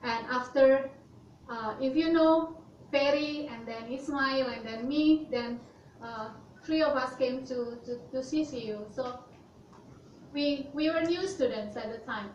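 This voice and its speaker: A young woman from Indonesia speaks with a high voice and halting delivery, full of fillers and restarts. Her voice sits some way from the mic in an echoey hall, and the sound is muffled. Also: a little mellow, slight background noise. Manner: hesitant, many fillers and restarts. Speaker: student speaker. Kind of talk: presentation talk. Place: university hall. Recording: roomy, lo-fi sound from a distant mic.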